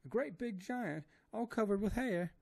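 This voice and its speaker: A man voicing a terrified older woman servant who wails in a shrill, keening voice with old rural dialect speech. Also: expressive, normal speed, vocal fry, warm tone. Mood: wailing.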